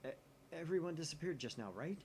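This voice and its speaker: A man voicing a self-important, excitable young mad scientist in a visual novel, with dramatic delivery. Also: on the high side, fast, slight background noise, pitched above the performer's own voice. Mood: panicked. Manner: grandiose, dramatic, stammering when alarmed. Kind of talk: visual novel dialogue acting.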